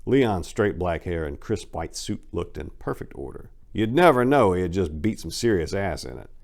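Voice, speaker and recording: A man with a lowish voice narrates dramatically, swinging widely in pitch. He is emphatic and theatrical, like a host reading pulp fiction aloud, with music underneath. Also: big pitch swings, moderate pace, gravelly. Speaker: channel host and story narrator. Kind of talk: dramatic story reading, scripted.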